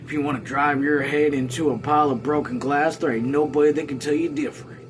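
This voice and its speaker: A man reads out a teasing young country man from a novel. He sounds wry and folksy, pitched up from plain talk, with music clearly audible underneath. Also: steady tone, quick pace, close to an everyday voice.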